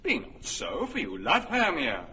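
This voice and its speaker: A man's voice: on the high side, huge pitch swings, a little slow, sharp tone, in a large hall, muffled recording, a little hiss or hum, heavily acted. An actor playing an earnest, lovestruck young man in a classic radio drama, pleading with passion.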